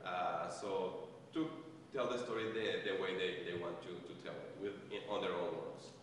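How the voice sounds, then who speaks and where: A man, a settlement worker, presenting to an audience, halting often with fillers and restarts. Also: deep voice, steady tone, a bit bright, a little hiss or hum. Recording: echoey hall, far from mic.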